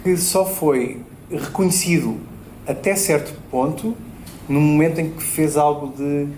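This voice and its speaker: A man with a European Portuguese accent speaks as a debate panelist, pausing often with fillers and tag questions. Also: fairly high pitch, darkish tone. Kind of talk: public panel discussion. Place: event space at a bookshop. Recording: roomy hall with faint noise.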